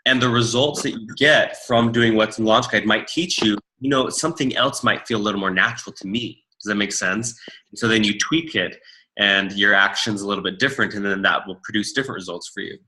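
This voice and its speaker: Loud, very bright-voiced team leader. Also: male voice, lowish voice, fairly quick, low-quality recording. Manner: big, loud voice. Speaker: team leader presenting a training. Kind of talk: casual workshop talk.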